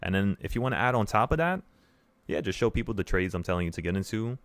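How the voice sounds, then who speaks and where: Deep-voiced young man chatting on livestream. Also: expressive, quick pace, no echo. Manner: earnest, rambling, repeats phrases for emphasis. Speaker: livestream host, options trading teacher. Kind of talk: casual livestream chat to viewers.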